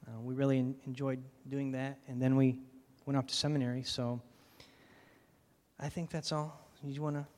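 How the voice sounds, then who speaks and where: A man from Michigan, a pastoral candidate, sharing his background in a mild, soft-spoken, rambling way. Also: medium pitch, flat delivery, moderate pace. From Michigan, USA. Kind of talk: casual personal testimony. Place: church hall. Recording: reverberant hall audio.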